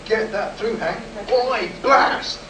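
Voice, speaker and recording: A man's voice: sits high, slow pace. A man playing a frightened test pilot close to breaking in a live sci-fi radio play. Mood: frantic. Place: live convention performance, recorded from the room. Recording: echoey, distant, noisy room recording.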